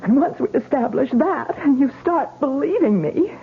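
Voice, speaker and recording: An actress playing a stubborn, exasperated young newlywed wife in a radio drama. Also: female voice, high voice, lively intonation, moderate pace. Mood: determined, pleading. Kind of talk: 1970s radio drama acting. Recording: tinny, noisy old radio broadcast.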